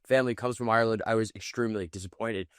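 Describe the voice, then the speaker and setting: A man's voice: on the low side, fairly even intonation, rapid-fire, smooth, dry recording, studio quality. A man hosting a political reaction video, commenting in an indignant, mocking way. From United States. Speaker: commentary host.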